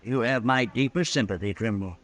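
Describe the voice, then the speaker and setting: A man's voice: mid-range voice, a little fast, a bit bright, close to an everyday voice. An actor playing a scheming frontier businessman in a radio western, unctuous and formal with hesitant phrasing and an American accent. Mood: falsely sympathetic. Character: smooth-talking, scheming frontier businessman villain. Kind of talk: old-time radio western acting.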